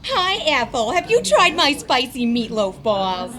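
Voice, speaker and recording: A woman playing a cheery, helpful housewife pitching products in an old-time radio commercial. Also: sing-song, a little slow, sharp tone, exaggerated voice. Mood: chirpy, helpful. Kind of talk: parody radio commercial acting.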